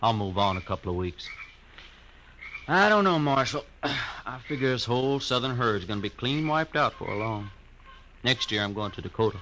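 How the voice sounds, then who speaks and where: An actor playing a talkative, hospitable buffalo hunter in a 1950s radio drama, with a folksy manner. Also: male voice, medium pitch, animated, moderate pace, pushed and bright, a little hiss or hum, low-quality recording. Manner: folksy, twangy, chuckling.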